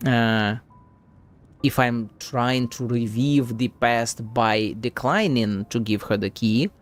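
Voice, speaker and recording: A man giving casual live gameplay commentary, sceptical and profane as he thinks aloud. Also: medium pitch. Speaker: game streamer. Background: game music.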